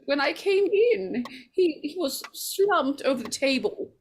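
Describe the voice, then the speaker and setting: Woman playing a high-voiced, nervous scheming woman. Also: expressive, measured pace, a little hiss or hum. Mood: nervous, pleading. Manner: hesitant, stammering, repeats words. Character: nervous, pleading, scheming woman coveting a house. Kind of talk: 1940s radio horror acting.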